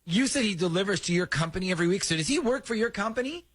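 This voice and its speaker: Radio host guy, lively bright voice, kinda high, lots of ums. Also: racing. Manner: animated, chatty, lots of "um" fillers. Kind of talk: morning radio prank call.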